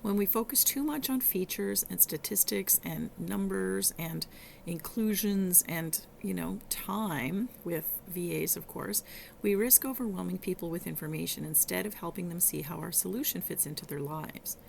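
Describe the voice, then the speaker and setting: A middle-aged woman with a hoarse, rough voice hosts a solo teaching podcast, coaching listeners in a conversational way with some halting restarts. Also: lowish voice, darkish tone, a little hiss or hum. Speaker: podcast host and virtual assistant coach.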